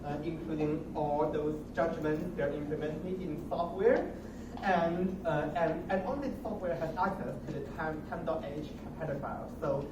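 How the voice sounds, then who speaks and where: Halting young man in a roomy, noisy recording. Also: a little high. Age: young adult. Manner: halting, repeats and restarts words often. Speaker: student presenter. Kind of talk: impromptu project demonstration. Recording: roomy, distant mic with noticeable noise.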